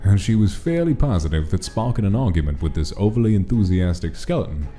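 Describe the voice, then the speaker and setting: A man's voice: deep voice, big pitch swings, quick pace, dark tone. A man reading a scripted, dramatic story as its narrator. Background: background music.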